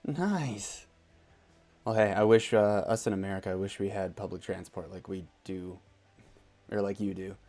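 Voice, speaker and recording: A man's voice: low voice, normal speed. A man hosting a casual gaming livestream, chatting loosely and reading out viewer messages. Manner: relaxed, chatty, reads out chat messages. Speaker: streamer host.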